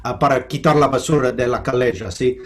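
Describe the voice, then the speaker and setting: A man who grew up speaking English, with an American accent, speaking slowly and thoughtfully as a professor giving an interview, pausing often and restarting his sentences. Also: medium pitch, steady tone. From United States. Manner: halting, thoughtful, with many restarts and fillers. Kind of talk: remote interview.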